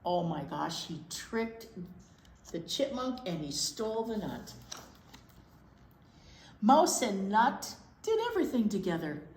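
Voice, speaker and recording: Animated, unhurried woman reading a storybook aloud. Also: mid-range voice. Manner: playful, exclaiming, commenting on the pictures. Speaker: children's librarian, storytime reader. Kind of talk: animated picture-book read-aloud with chatty asides. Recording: roomy echo with some background noise.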